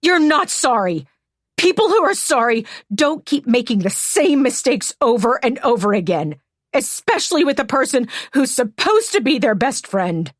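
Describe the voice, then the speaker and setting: A woman narrator voicing a furious adult woman who yells and curses in relentless accusations. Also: low-pitched, a little slow, hardly any pauses, husky, dry recording, studio quality. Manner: yelling, cursing, relentless accusations.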